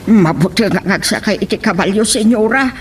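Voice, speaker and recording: An actress playing a humble household servant with a Filipino accent in a radio drama. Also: female voice, mid-range voice, a little fast, a little hiss or hum, close to an everyday voice. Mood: hesitant.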